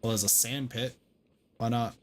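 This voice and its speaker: A man's voice: mid-range voice, fairly even intonation, normal speed, faint music behind. A man giving casual livestream commentary on a game, muttering to himself and swearing mildly. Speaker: game streamer.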